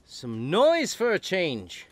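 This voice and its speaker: An actor playing an arrogant, lonely wealthy executive in a radio drama, sneering and bitter. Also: male voice, sits high, huge pitch swings, slow, no echo, heavily acted. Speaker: rich executive character.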